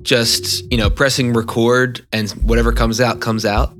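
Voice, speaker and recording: A man interviewing a singer, asking curious, relaxed questions with plenty of fillers. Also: mid-range voice, moderate pace, faint music behind. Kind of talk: casual interview.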